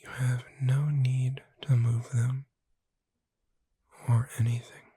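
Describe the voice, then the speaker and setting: A woman playing a gentle witch bookseller in a breathy, soothing, whispered ASMR sleep roleplay. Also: very deep voice, level tone, no room sound, heavily acted. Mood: calm, soothing. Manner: slow, soothing, deliberate. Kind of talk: soft-spoken ASMR sleep roleplay, guided relaxation.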